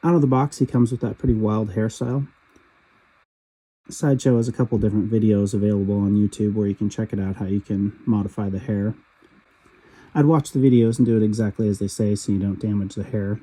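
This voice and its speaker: A man talking through a product review in a steady, matter-of-fact voice-over. His tone is very dark and mellow, and a cold leaves it husky and raspy. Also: on the low side, a little fast, low-quality recording. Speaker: toy reviewer.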